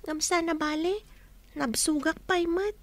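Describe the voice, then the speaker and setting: A woman's voice: very high-pitched, measured pace. A woman playing a meek, mistreated young niece in an Ilocano radio drama, speaking in a submissive, plaintive way. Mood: sorrowful.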